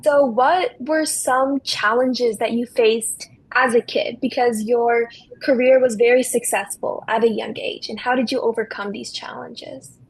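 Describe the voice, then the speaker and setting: A woman's voice: on the high side, steady tone, a little slow, breathy edge, low-quality recording. A woman hosting an interview, asking hesitant questions that she rephrases as she goes. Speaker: interviewer, podcast host.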